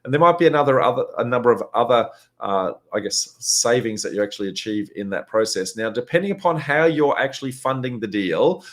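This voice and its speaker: A man with a slightly raspy, hoarse voice, hosting a live question-and-answer session in a chatty way with lots of filler words. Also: mid-range voice, lively intonation, fairly quick. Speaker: host.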